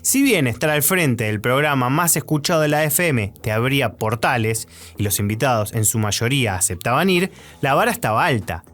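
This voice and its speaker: A man's voice: mid-range voice, expressive, moderate pace, a bit bright. An Argentine man presenting a radio documentary in scripted narration. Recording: music under the voice.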